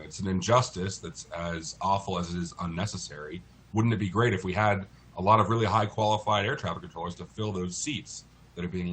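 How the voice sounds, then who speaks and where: Male, American, low voice. Articulate expert guest in an interview, explanatory and measured, with background noise. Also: fairly bright tone. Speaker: interview guest, lawyer in a class action.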